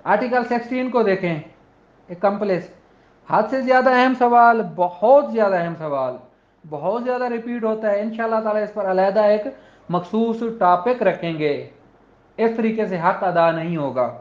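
Pakistani guy teaching law, pretty high voice, reads english bits slowly, muffled lo-fi audio. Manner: switches between Urdu and slowly read English legal text. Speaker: law lecturer. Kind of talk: informal lecture explaining legal articles. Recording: dull, lo-fi audio.

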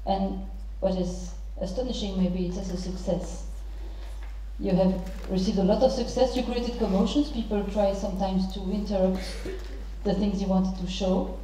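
A middle-aged woman moderating a panel, introducing guests and posing questions formally in halting, deliberate phrases. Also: mid-range voice, fairly even intonation, warm tone, a little hiss or hum. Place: large theatre stage. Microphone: handheld microphone through hall speakers. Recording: very echoey theatre PA, distant, muffled.

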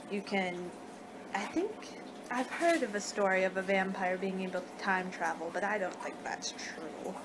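Chatty young woman in noisy ASMR roleplay. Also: mid-range voice. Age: 20s. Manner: chatty, rambling, hesitant. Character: friendly young two-hundred-year-old female vampire hosting a guest. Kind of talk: ASMR roleplay, improvised in a casual conversational way. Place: small room at home. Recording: noisy home webcam recording.

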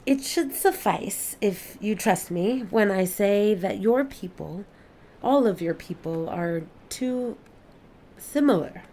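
A careful alien witness who studies planets speaks in formal, precise phrases with measured pauses. Her pitch is mid-range for a woman, and ambient noise sits under the audio drama recording. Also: expressive, dark tone. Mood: careful, hesitant. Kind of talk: audio play acting.

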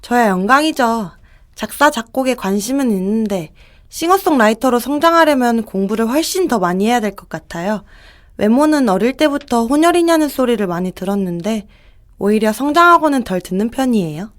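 A young actress playing a polite, humble idol member in an interview. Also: female voice, on the high side, expressive, a little fast, natural voice. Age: young adult.

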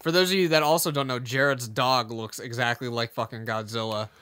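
A young man co-hosting a casual podcast, quick and joking and swearing freely, in a room lined with shelves. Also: mid-range voice, fairly even intonation, sharp tone, clear, resonant tone. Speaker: podcast co-host. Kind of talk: casual chat. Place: room with shelves of movies and comics.

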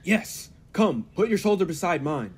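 An actor as a husky, formal lab assistant. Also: male voice, high voice, very animated, normal speed, full character voice. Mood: alarmed. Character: Barton, grave calculating male laboratory assistant to a scientist. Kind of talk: old time radio drama acting.

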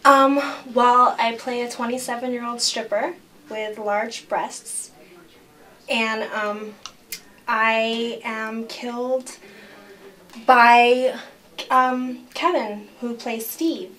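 Slow, high-voiced woman interviewed. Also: steady tone, sharp tone, distant mic. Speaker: actress being interviewed. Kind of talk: hesitant interview answers.

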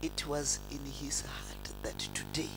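A woman's voice: low-pitched, level tone, a little slow, fairly bright tone, faint music behind, rough recording. A middle-aged woman pastor making an altar call on an outdoor stadium stage, repeating pleas to come forward into a handheld mic. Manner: pleading, repetitive calls to come forward. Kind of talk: altar call sermon with interpreter.

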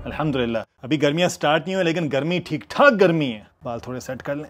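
A man's voice: fairly high pitch, expressive, quick pace. A man in his thirties narrating a casual, enthusiastic food vlog, first walking through a mall food court, then in a hotel room. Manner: chatty, enthusiastic, mixes English phrases in. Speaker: food vlogger.

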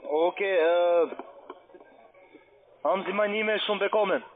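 Casting: male phone scam caller, notably high voice, halting and repetitive, muffled line. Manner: halting, repetitive, non-native grammar. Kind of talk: phone call, pushy questioning.

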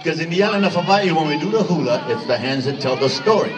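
A man's voice: a little high, lively intonation, quick pace, noisy background. A man teaching children hula steps on stage, counting aloud in an upbeat way. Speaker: hula instructor. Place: large hall at a children's show. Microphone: phone camera far from stage. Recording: distant phone recording, loud music and crowd.

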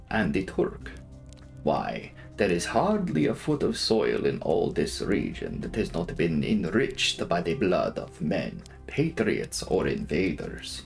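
A man voicing a proud, scornful old aristocratic vampire count in a dramatic audiobook, speaking slowly and formally with heavy weight. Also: low-pitched, vocal fry, pitched below the performer's own voice, exaggerated voice. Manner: slow, weighty, formal old-fashioned phrasing. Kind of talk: dramatic audiobook character reading.

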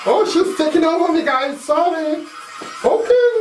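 Young woman, high voice, lively swoops, excitable reaction host, squeals and laughs, distant camera mic, roomy room, loud music behind. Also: measured pace. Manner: squeals, whoops and laughs often while watching. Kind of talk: excited casual reaction commentary. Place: salon-style room indoors. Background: music from the clip being watched.

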